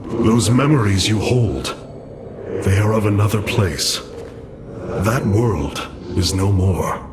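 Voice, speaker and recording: A man's voice: fairly low pitch, slow, long pauses, background noise. An actor playing a noble, resolute male alien commander in a video game, grave, formal and commanding. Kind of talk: dramatic video game voice acting.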